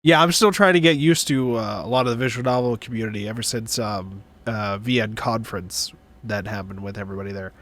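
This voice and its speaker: A man's voice: on the low side, brisk, few pauses, fairly bright tone. A man hosting a casual livestream, chatting informally and swearing now and then. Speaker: streamer, host.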